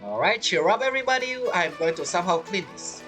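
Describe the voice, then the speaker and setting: A woman's voice: lowish voice, big pitch swings, fast talker, music in the background. A woman doing casual gameplay commentary, chattily talking back to the game's characters. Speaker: game streamer.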